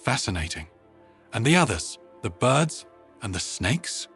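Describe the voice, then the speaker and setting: A man's voice: medium pitch, very animated, measured pace, a bit bright, soft background music. A man voicing a thoughtful, curious insectoid alien diplomat with formal wording in a narrated sci-fi story. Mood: fascinated.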